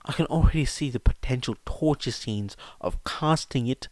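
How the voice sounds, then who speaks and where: Storybook role: incredulous teen wizard lad, read by a man, blunt, teasing, slightly raised pitch, dry recording. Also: moderate pace, dry recording, lightly acted. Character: brash, incredulous teenage wizard boy.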